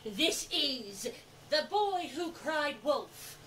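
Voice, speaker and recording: A woman playing a sentimental, theatrical female storyteller in a children's show, with dramatic, deliberate, drawn-out phrasing. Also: high voice, big pitch swings, fairly bright tone, full character voice. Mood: storytelling. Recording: distant mic, faint background noise.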